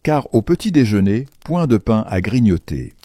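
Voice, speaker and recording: Deep, very animated male storyteller. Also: moderate pace, dry recording. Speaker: narrator. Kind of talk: scripted children's storytelling. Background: faint music.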